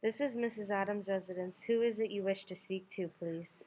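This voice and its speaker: A woman's voice: mid-range voice, fairly even intonation, fairly quick, warm tone, lightly acted, low-quality recording. A young woman playing a formal, composed lady answering a household telephone in a radio play, polite and matter-of-fact. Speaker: student actor.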